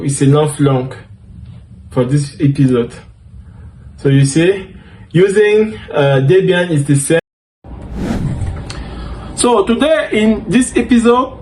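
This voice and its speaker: A man whose first language is French, hosting a tech tutorial, unhurried and upbeat, thinking aloud with fillers and self-corrections. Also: high-pitched, slight background noise, low-quality recording. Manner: upbeat, keeps saying things are awesome. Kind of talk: screen-recorded coding tutorial.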